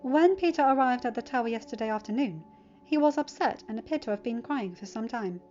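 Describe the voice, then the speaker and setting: Dutiful computer assistant done by a woman, formal and precise, slightly raised pitch, lo-fi storybook recording. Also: expressive, a little fast, a little mellow, soft background music, lightly acted. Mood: matter-of-fact. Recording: dull lo-fi recording.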